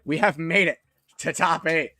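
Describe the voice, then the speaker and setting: A man giving solo live commentary on a fighting game tournament, excitable and jumping to high shouts at big moments, his voice a little worn, from a small closet. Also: moderate pace, dry recording. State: losing his voice. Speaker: esports commentator.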